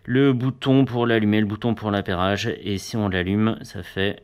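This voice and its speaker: A man's voice: fairly low pitch, fairly even intonation, moderate pace. Man, product reviewer, casual hands-on style, chatty, informal, short asides.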